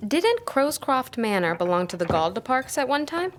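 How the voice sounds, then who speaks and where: An actress playing an earnest, deferential tutor and historian, polite and careful, in an audio drama. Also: female voice, sits high, very animated, normal speed, a little hiss or hum. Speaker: narrator and character.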